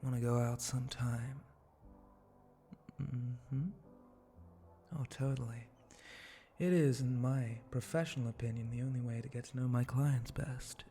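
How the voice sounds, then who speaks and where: A man voicing a flirty, teasing spider-monster bartender in an intimate ASMR roleplay, breathy and often close to a whisper, with a languid, suggestive way of talking. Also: medium pitch, little pitch movement, dark tone, soft background music, lightly acted. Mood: flirty, inviting. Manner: slow, languid, suggestive drawl with pauses and hums.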